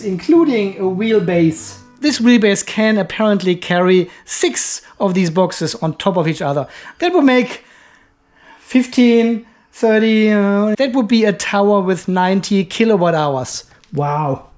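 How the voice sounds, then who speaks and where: A man's voice: high voice, a little mellow. A man hosting a casual hands-on product review, talking informally and thinking aloud as he handles the item. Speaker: video host, product reviewer. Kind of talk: casual unboxing and review commentary. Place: indoor workshop or garage. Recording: roomy, echoing indoor sound.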